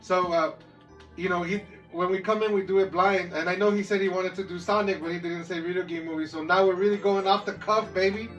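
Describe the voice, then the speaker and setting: A man's voice: high voice, steady tone, fairly quick, a bit bright, highs cut off. A man co-hosting a podcast, bantering casually and unscripted with slangy hype-man talk. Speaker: podcast co-host. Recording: far from the mic, music behind.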